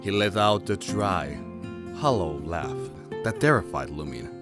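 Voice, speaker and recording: A man with a low voice narrates a scripted drama. He reads as the storyteller, setting out scenes and feelings. Music plays clearly behind him. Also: lively intonation, measured pace.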